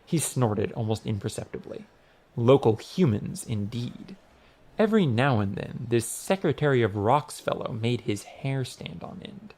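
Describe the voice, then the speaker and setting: A man narrating a scripted comic fantasy audiobook in an expressive, wry manner. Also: mid-range voice, a little slow.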